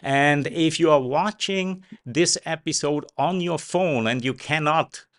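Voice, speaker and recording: Slow-talking Swiss host. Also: male voice, on the high side, fairly bright tone. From Switzerland. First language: Swiss German. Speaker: show host. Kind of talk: live-streamed interview.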